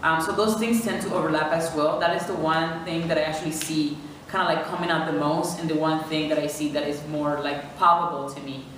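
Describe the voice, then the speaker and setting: Low-voiced young woman from venezuela, thoughtful interviewee, lots of ums, echoey room, mic far off. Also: steady tone, brisk, a little hiss or hum. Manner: thoughtful, many fillers. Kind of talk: personal reflection.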